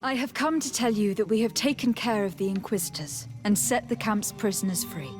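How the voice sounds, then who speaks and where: Woman playing noble female envoy, formal, measured, commanding, video game voice, medium pitch. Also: darkish tone, soft background music, close to an everyday voice. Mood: formal, diplomatic. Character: noble female envoy, composed young leader giving orders. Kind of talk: scripted video game voice acting.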